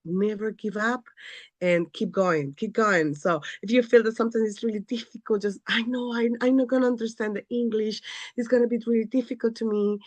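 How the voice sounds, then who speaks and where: A woman's voice: a little high, steady tone, fairly quick, no echo. Woman from el salvador, earnest, repeats herself to push the point, dry sound. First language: Spanish. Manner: earnest, repeats phrases for emphasis. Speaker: panel speaker. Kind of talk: encouraging talk to an audience.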